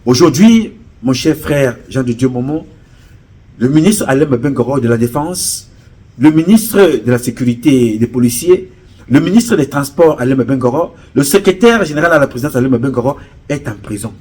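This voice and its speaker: Emphatic Cameroonian man with a husky voice. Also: medium pitch, expressive, normal speed, a little mellow. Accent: Cameroonian French. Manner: emphatic, rising to urgent appeals; repetitive oratorical cadence. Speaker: political commentator. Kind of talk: impassioned political address.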